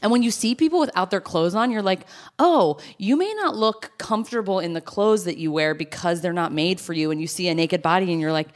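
A woman's voice: on the low side, lively intonation, brisk, few pauses. A woman guest on a podcast, chatting in an easygoing, frank, affirming way. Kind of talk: casual chat.

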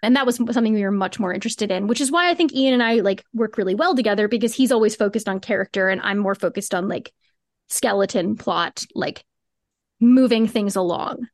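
A woman's voice: medium pitch, a little fast, no echo. A woman speaking as a podcast guest, explaining creative work in an informal, conversational way with casual filler words. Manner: explanatory, informal, peppered with "like" and "cool". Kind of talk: casual interview conversation about craft.

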